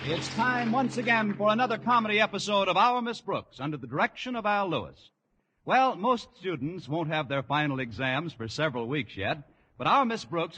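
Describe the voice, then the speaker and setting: A man reading an opening announcement for a 1950s radio comedy with polished, booming delivery. His voice is bright and a bit husky, and it rises and falls with showy emphasis. The pitch sits somewhat high for a man.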